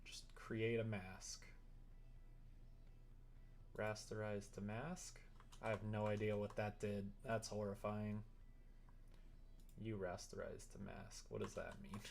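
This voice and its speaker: Slow-paced streamer thinking aloud, gender unclear. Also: mid-range voice, level tone, creaky, darkish tone. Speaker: live streamer. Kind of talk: casual livestream chat while working, thinking aloud.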